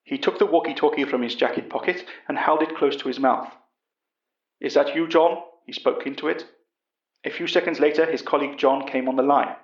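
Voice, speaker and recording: A man's voice: mid-range voice, quick pace, echoey room, recorded from a distance, highs cut off. A man reading scripted first-person horror narration.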